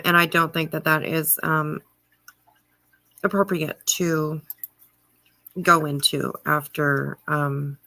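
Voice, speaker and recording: A middle-aged woman hosting a livestream, chatting casually and answering viewer comments in a halting way with frequent pauses. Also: low voice, creaky, pushed and bright, no echo. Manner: halting, pausing often mid-sentence. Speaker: livestream host.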